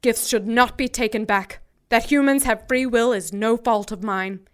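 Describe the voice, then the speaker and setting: A woman acting a steadfast angel in an audio drama. Her voice is smooth and fairly high, with formal, dignified phrasing. She stands firm and defiant. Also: normal speed, close to an everyday voice. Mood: guarded. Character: principled, defiant female angel, calm and steadfast.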